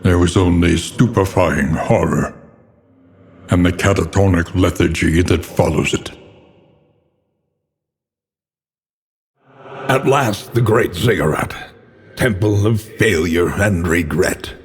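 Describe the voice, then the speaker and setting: A brooding, ominous narrator for a dark gothic video game. His voice is low and very slow, with theatrical gravity in his delivery. A long, cavernous reverb trails behind him. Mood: somber. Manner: grave, ominous, theatrical, measured. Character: grim, brooding male narrator of a doomed world. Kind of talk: dramatic scripted gothic narration.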